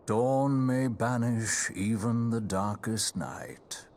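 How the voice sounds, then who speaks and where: A man's voice: lowish voice, slow pace, a little mellow, a little hiss or hum. An actor playing a grave male sage in a video game, solemn and poetic in archaic speech. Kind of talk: video game cutscene acting.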